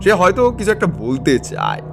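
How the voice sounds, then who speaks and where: An actor playing an assured, commanding tantric priest in an audio ghost story, speaking expressively and with authority. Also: male voice, high-pitched, wildly swooping pitch, normal speed, creaky, music in the background, full character voice. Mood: reassuring. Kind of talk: dramatised Bengali audio story dialogue.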